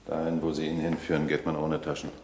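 Resigned, blunt working man, extremely deep and flat, in a 1960s radio drama. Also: fast talker. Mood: bleak, resigned. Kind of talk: 1960s radio play acting. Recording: echoey, noisy, humming old radio recording.

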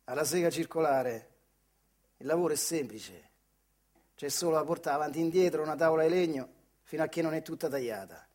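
A man's voice: fairly high pitch, fairly quick, darkish tone. A man with a central Italian accent from the Marche tells a story as a theatrical monologue in colloquial, emphatic short phrases. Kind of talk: dramatic theatrical storytelling in dialect.

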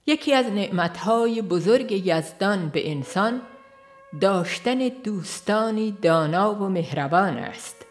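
A woman reading a devotional book aloud in a slow, earnest, reflective way. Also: medium pitch, animated. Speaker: audiobook reader. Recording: faint instrumental music behind.

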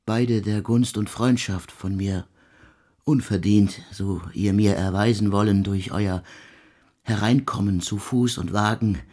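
A man playing a brooding, doomed composer who addresses his guests in halting, murmured, archaic solemnity in a radio drama. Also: fairly low pitch, fairly even intonation, a little mellow. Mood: solemn, murmuring. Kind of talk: German radio drama acting.

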